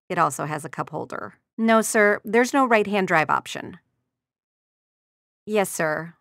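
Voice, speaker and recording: An actress playing a courteous but dryly sardonic receptionist at a car dealership, delivering scripted video game lines. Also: female voice, on the low side, no echo, studio quality. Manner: courteous customer-service formality with sardonic undertone. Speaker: secretary answering customer calls.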